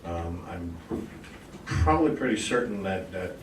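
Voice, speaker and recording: A man with a low voice answers questions formally at a public meeting. He explains in a deferential, official way. The recording is echoey, distant and clearly noisy. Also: animated, measured pace. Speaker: school district staff member presenting a proposal. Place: indoor meeting room.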